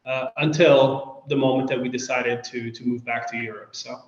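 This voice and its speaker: Measured man from Belgium, echoey. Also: mid-range voice, level tone. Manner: measured, hesitant with fillers. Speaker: guest. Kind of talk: interview answers. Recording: echoey dull-sounding room.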